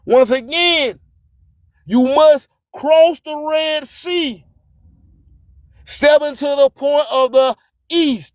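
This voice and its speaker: High-pitched, boastful Southern radio hype man. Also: dry recording. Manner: boastful, emphatic, preacher-like proclaiming. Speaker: internet radio host. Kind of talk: hype radio monologue with rhythmic, rhyming spoken-word delivery.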